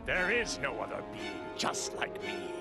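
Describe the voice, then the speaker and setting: A man's voice: fairly low pitch, very animated, gravelly, exaggerated voice. A man playing an arrogant, menacing monster villain in an anime video game, with pompous, sneering delivery. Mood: haughty. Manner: pompous, slow, deliberate sneering. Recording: very loud music under voice.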